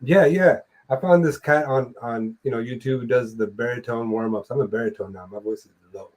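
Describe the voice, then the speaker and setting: Young guy hosting a streamed chat, laid back, rambly, mid pitched voice. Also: brisk. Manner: relaxed, rambling, swears casually. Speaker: podcast host and musician. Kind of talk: casual interview chat. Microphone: remote streaming call mic.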